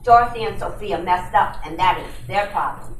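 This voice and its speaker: A woman playing a cold, conniving bad-girl gangster in an old-time radio detective drama, taunting and deliberate. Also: on the low side, lightly acted. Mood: smug, menacing. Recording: echoey room from a distance, faint noise.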